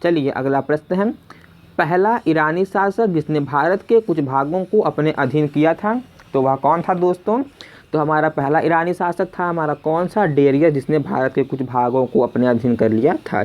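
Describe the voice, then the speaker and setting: A man's voice: fairly high pitch, moderate pace. A man presenting a spoken exam quiz lesson, conversationally repeating each question before giving the answer. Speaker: quiz teacher and video host. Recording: dull lo-fi voice recording.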